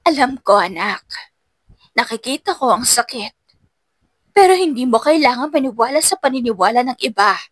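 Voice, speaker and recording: A woman voicing a gentle mother who softly consoles her grown daughter in an audiobook melodrama. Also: fairly high pitch, lively intonation, moderate pace, long pauses, no echo. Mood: gentle, consoling. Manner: soft, soothing.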